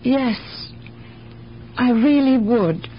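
An actress playing a gentle, compassionate, slightly anxious woman in a radio mystery, speaking earnestly with small stammers. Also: fairly high pitch, extremely slow. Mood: earnest pleading. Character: gentle, compassionate shop woman, anxious witness recalling events. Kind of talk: radio mystery drama acting. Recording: dull, noisy old radio broadcast.

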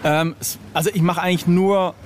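A man's voice: high voice, little pitch movement, a little fast, darkish tone, a little hiss or hum. A middle-aged man chatting casually as an interview guest, hesitant and self-ironic, often searching for his words. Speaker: interview guest, illustrator of record covers.

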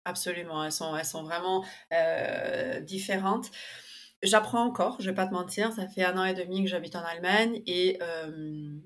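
A woman's voice: lowish voice, normal speed. A woman guest in a casual interview, talking with many fillers and restarts, and livelier when she tells a story. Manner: lively when telling an anecdote.

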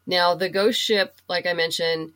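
A woman speaking as a podcast guest in casual interview chat, halting as she thinks aloud. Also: lowish voice, fairly even intonation, fairly bright tone. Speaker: podcast guest, comic writer and audio drama producer.

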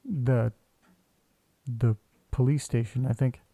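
Insistent amateur sleuth, very mellow tone, hesitant, casual, dry recording, audio drama. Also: male voice, medium pitch, steady tone, slow pace, breathy edge, dry recording. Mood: hesitant, puzzled. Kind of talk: naturalistic audio drama acting.

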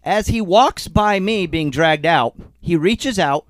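A man's voice: high voice, slow, dry recording. A man co-hosting a podcast from a studio, a bit sick and congested. He tells a story with lots of rise and fall in his voice, laughing as he goes. The sound is close and dry. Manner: animated storyteller, laughs while telling. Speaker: co-host. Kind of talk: casual storytelling chat. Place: recording studio. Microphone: studio mic.

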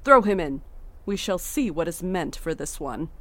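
An actress playing a stern, cold female cult leader in a horror audio drama, imperious and deliberate. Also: fairly low pitch, animated, no echo, close to an everyday voice. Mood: stern command.

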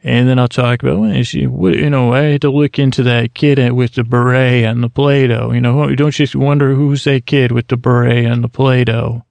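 A man hosting a podcast, rambling through a bedtime monologue in a halting, stammering, digressive way meant to lull listeners to sleep. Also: medium pitch, fairly even intonation, gravelly, darkish tone, no echo. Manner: meandering, halting, stammering, digressive, mumbly self-interruptions. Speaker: podcast host.

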